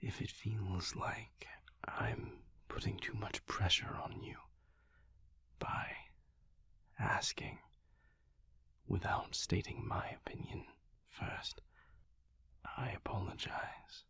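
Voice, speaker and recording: A deep, husky male vampire, acted by a man, murmurs in a half-whisper for an intimate audio roleplay. He is calm, tender and guarded, taking his time over each phrase with deliberate pauses. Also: dry recording, exaggerated voice. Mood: earnest, apologetic. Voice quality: breathy, husky whisper with gravelly creak. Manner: slow, deliberate, pausing mid-sentence. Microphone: close, dry microphone.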